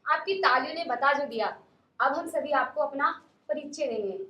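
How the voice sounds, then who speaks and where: A nineteen-year-old woman from Uttar Pradesh, a student emceeing a drama show with polite, measured, formal announcements on a stage. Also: high voice, recorded from a distance, dull audio. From Uttar Pradesh, India. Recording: echoey hall.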